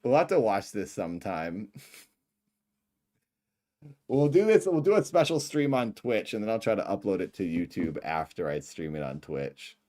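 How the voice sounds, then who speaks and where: Middle aged guy w a lisp, lowish voice, chatty rambling stream talk, trails off. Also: very animated, moderate pace. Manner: rambling, laughing asides, trails off quietly. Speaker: livestream host. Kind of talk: livestream reaction commentary.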